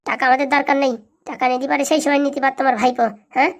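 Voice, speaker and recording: A woman's voice: sits high, little pitch movement, rapid-fire. A woman voicing an indignant, threatening village uncle in a comic cartoon. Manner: scolding, indignant. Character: aggrieved, vengeful village uncle who threatens and scolds.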